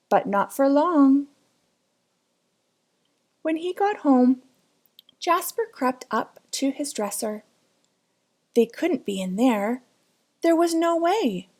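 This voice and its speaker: Female children's storybook reader, higher register, unhurried, dramatic and suspenseful. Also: mellow. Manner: dramatic, suspenseful delivery with drawn-out words. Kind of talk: children's picture book read-aloud.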